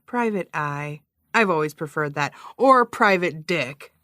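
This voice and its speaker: Dry folksy lady detective, lowish voice, teases and builds the drama, podcast drama. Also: animated, a little slow, pushed and bright, dry recording, full character voice. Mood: dry, wry. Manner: dry, teasing, storytelling for dramatic effect. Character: wry small-town female private eye, teasing and folksy. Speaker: private investigator being interviewed. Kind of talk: fiction podcast acting, interview style.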